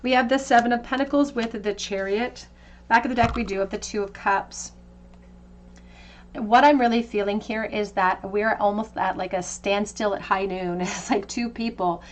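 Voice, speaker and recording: A woman's voice: medium pitch, fairly even intonation, normal speed, slight background noise. Woman doing a tarot reading. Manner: intuitive, conversational, gently reassuring. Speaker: tarot reader. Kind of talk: tarot card reading to camera.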